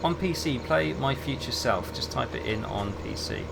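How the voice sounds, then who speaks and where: Male streamer, relaxed and chatty, mid-range voice, steady hum behind. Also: mid-range voice, moderate pace, creaky. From England. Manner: relaxed, chatty, answers chat while playing. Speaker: game streamer. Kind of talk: casual gameplay commentary, chatting with viewers.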